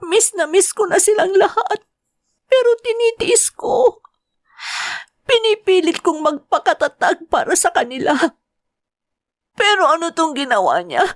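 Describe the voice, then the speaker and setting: A woman's voice: extremely high pitch, lively intonation, a little slow, long pauses. A woman playing a young woman working abroad who is heartbroken, talking in an emotional, tearful way in an audio drama. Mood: hurt, tearful. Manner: tearful, pleading, emotional outbursts. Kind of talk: Filipino audio drama acting. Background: soft music.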